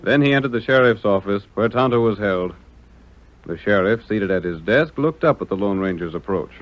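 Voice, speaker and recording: A man's voice: lowish voice, animated, soft background music, lightly acted. Muffled, dramatic old-time radio narrator man. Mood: dramatic, measured. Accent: American. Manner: measured, dramatic announcer delivery. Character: dramatic male narrator of a Western serial.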